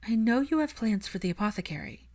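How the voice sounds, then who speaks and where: A woman's voice: fairly high pitch, expressive, fast, darkish tone, voice pushed up. A woman voicing a determined, caring heroine in an audiobook, earnest and insistent as she persuades. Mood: firm, encouraging.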